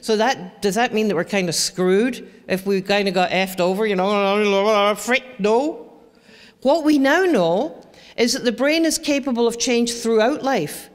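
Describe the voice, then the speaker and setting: Scottish woman speaking slowly in a hall. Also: on the high side. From Scotland. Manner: lively, chatty, asks the audience questions. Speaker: presenter. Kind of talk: educational talk to parents. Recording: long hall reverb.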